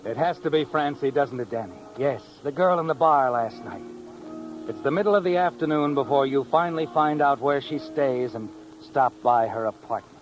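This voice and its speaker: Guy doing old time radio mystery narrator man, ominous and knowing, medium pitch, music under it. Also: close to an everyday voice, poor audio. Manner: ominous, knowing, dramatic pauses, taunting rhetorical questions. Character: knowing, taunting male narrator addressing the doomed schemer. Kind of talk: 1950s radio drama narration, second person.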